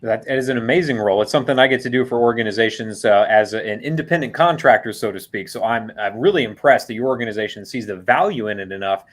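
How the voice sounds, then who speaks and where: A man hosts an interview podcast with a middle-pitched voice. He introduces his guest and asks about her career. He drops in frequent "mm"s of agreement while she talks. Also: fast, hardly any pauses, a bit bright. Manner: affirming, with frequent backchannels. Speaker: podcast host. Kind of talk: interview hosting.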